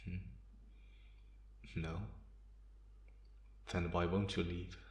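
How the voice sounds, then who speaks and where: Man playing a deep, brooding centuries-old vampire. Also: level tone, vocal fry, exaggerated voice. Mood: incredulous, guarded. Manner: slow, brooding, measured delivery with pauses. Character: centuries-old brooding male vampire, vengeful, bitter, guarded. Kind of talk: ASMR roleplay, dramatic monologue to the listener. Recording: roomy, echoing sound.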